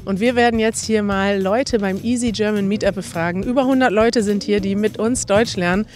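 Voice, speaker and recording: A woman host presenting a topic upbeat and clearly outdoors at a meetup, holding a mic. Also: medium pitch, a little fast, darkish tone, faint music behind. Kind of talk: presenting to camera.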